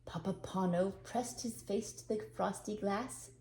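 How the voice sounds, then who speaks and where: A woman's voice: mid-range voice, a little slow, mellow, reverberant, a little hiss or hum, rough recording. An older woman narrating a storybook tale expressively as a storyteller.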